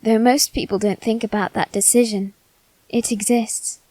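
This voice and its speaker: A woman playing a wounded, possessive teenage boy aristocrat in an ASMR roleplay, speaking haltingly with long pauses. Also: on the high side, fairly even intonation, dark tone, no echo, natural voice, rough recording. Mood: tentative, pensive. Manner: slow, halting, measured delivery with long pauses. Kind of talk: ASMR character roleplay, scripted drama.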